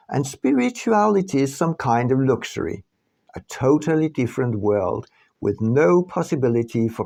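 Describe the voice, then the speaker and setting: A male author narrates his own book in a slow, deliberate way. His voice is soft-edged and dark, with intonation that moves widely up and down. He sets phrases apart with dramatic pauses. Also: mid-range voice, vocal fry. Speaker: author reading own audiobook. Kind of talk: scripted audiobook narration.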